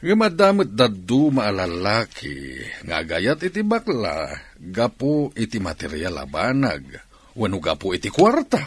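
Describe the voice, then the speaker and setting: Husky, low-voiced Ilocano boss. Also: male voice, wildly swooping pitch, unhurried, no echo, full character voice. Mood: explaining. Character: male boss chatting knowingly about matters of love. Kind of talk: Filipino radio drama acting.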